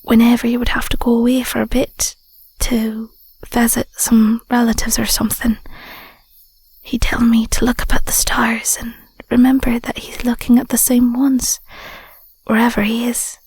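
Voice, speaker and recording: A woman playing a tender, sentimental young Scottish werewolf girlfriend in an intimate audio roleplay, speaking softly and breathily with a gentle, affectionate manner. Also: fairly high pitch, flat delivery, normal speed, dry recording. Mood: bittersweet, tender. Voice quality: soft, breathy, whispery. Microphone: close mic, dry.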